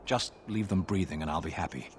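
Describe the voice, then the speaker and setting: A man's voice: low-pitched, brisk, slight background noise, lightly acted. A man playing a weary, sardonic guard sergeant in a fantasy game, wryly exasperated. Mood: exasperated, dry. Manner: dry, wry, exasperated. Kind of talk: fantasy video game dialogue acting.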